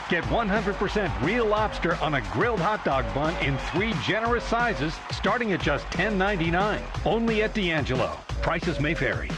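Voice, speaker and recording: A man reading scripted radio commercials in a polished, upbeat announcer delivery. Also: on the high side.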